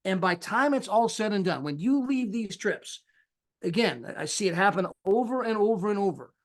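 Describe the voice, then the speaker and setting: A man giving an energetic sales pitch on a live call, casual and folksy, acting out the people he quotes. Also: moderate pace. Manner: animated, acts out quoted people with big pitch jumps. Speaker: sales presenter.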